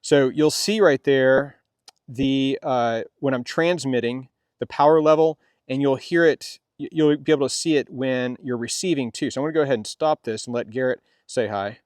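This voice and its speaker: A middle-aged man gives an unscripted product demonstration as a vlog host. His delivery is casual and meandering, with halting phrases that he often repeats as he goes. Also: mid-range voice, dry recording, clean studio sound.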